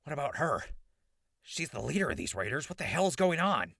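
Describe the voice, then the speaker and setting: An actor playing a bewildered, indignant wasteland captive in an audio drama, stammering and exasperated. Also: male voice, a little high, sing-song, fairly quick, vocal fry, fairly bright tone, no room sound, clean studio sound, full character voice. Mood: shocked, bewildered. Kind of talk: post-apocalyptic audio drama acting.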